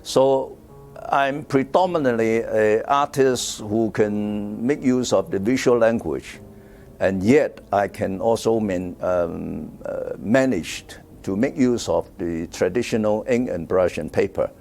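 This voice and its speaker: An older artist from Hong Kong speaks English with a Hong Kong accent, slowly and in a fairly low voice. He searches for words, repeats himself and fills gaps with "uh" and "um". Soft guitar music plays faintly behind him. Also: gravelly. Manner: hesitant, with many fillers and repeated words. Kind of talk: interview monologue about his art.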